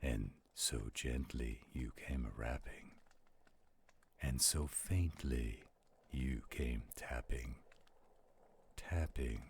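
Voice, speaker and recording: A man voicing a slow-spoken werewolf who solemnly recites gothic poetry in an audio roleplay, with deliberate, gravelly delivery. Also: a bass voice, a little mellow, a little hiss or hum, exaggerated voice. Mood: brooding, solemn. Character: slow-spoken male werewolf reading a poem by campfire.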